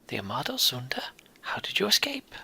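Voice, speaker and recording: A man voicing a composed, cautious female mender, a town envoy in a fantasy game, in a measured, formal way. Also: medium pitch, wildly swooping pitch, vocal fry, sharp tone, slightly rough, exaggerated voice. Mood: concerned.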